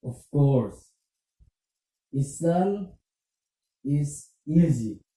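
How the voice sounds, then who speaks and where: A woman voicing an older male Islamic teacher in a puppet play, patient and instructive, with measured questioning. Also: low voice, animated, mellow, reverberant, recorded from a distance, pitched below the performer's own voice, full character voice. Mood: patient, probing.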